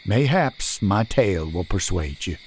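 A bowed, nervous countryman speaks in humble old-fashioned phrasing, played by a male narrator. His voice stays low and slow, with a faint hiss behind it in an audiobook. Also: lively intonation, darkish tone. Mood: pleading.

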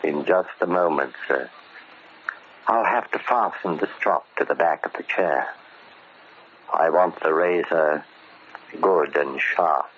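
An actor playing a vengeful ex-convict posing as a deferential, bookish manservant, speaking formally and measuredly with polite menace in old radio horror style. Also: male voice, a bass voice, takes it slowly, long pauses. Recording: muffled, noisy old radio recording.

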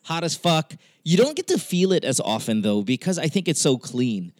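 A man's voice: a little high, sing-song, moderate pace, darkish tone, no echo, studio quality. A man hosting a podcast call-in show, chatting casually and bluntly with plenty of swearing. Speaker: podcast host taking calls. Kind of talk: casual, profane talk-show chat.

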